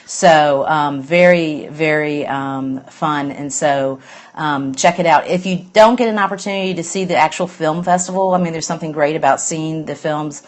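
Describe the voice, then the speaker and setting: Low-voiced Southern woman, chatty. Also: normal speed. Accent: Southern US (Alabama). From Alabama, US. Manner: chatty, rambling, easygoing. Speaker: host of a sustainability news show. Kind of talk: casual live-stream chat.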